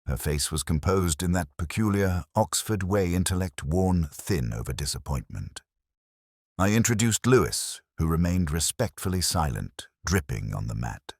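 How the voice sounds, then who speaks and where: A man narrating a scripted first-person detective story in a measured, literary and formal way. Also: deep voice, no echo, studio quality.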